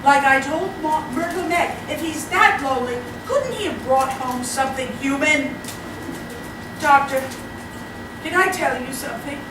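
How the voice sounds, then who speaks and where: Casting: actress as haughty, exasperated middle-aged mother, stage comedy, high voice, fretful emphatic complaining, distant miking. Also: unhurried. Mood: confessing. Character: flustered, indignant middle-aged society mother, exasperated by her brother. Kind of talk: comic stage play performed live. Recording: echoey, very noisy hall from far off.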